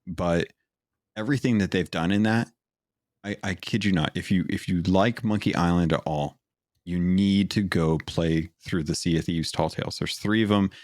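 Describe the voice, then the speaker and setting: A man's voice: low-pitched, normal speed, a little mellow, no room sound, studio quality. A man co-hosting a gaming podcast, chatty and eager, with lots of fillers as he recommends games. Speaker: podcast co-host. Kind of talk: casual podcast chat.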